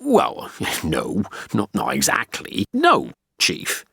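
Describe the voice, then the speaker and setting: A man's voice: sits low, animated, moderate pace, slightly rough, dry recording, studio quality. A man voicing a blunt but deferential police detective in an audiobook, a little awkward with his boss.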